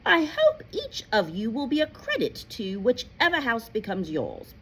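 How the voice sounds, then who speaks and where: A woman voicing a stern, formal senior witch professor in a storybook, with declamatory, deliberate delivery. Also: fairly high pitch, wildly swooping pitch, fairly bright tone, breathy edge, pitched above the performer's own voice, heavily acted, poor audio. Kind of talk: storybook character voice.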